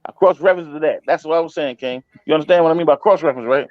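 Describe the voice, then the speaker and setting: A man's voice: fairly high pitch, racing, no room sound, lo-fi sound. A man in a casual, argumentative discussion, speaking colloquially and insistently and repeating himself.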